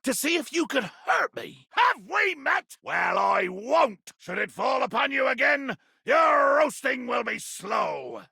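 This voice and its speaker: An actor playing a tiny, fierce, pompous pirate captain in a video game, with a raspy, gravelly voice and grandiloquent, blustering delivery. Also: male voice, extremely high pitch, very animated, slow, pushed and bright, dry recording, raised voice, clean studio sound, full character voice. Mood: furious, threatening. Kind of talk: theatrical video game character acting.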